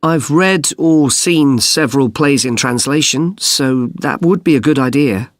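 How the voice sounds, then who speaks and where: A man's voice: medium pitch, unhurried, no echo, studio quality, close to an everyday voice. A young man playing a polite, keen undergraduate in a scripted listening-test dialogue, speaking earnestly. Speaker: student.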